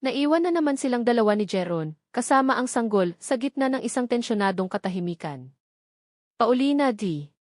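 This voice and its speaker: A woman's voice: fairly high pitch, expressive, brisk, no room sound, clean studio sound. A woman with a Filipino accent reading a scripted, dramatic romance story as an audiobook narrator.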